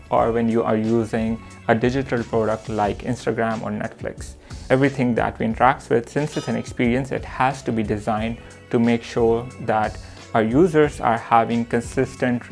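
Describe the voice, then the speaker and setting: A young man from Pakistan talks about his career in an earnest, measured way, often pausing on "uh" to find his words. His voice is mid-pitched with a gravelly edge, and soft music plays underneath. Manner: earnest, measured, with frequent "uh" pauses. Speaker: product manager and course instructor. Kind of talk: reflective self-introduction to camera.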